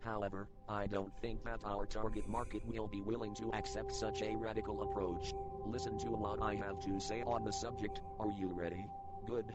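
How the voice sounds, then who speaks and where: Man as lowish-voiced, smug office bully. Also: level tone, normal speed, natural voice. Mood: smug, dismissive. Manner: condescending, interrupting. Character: smug, overbearing businessman hogging a meeting.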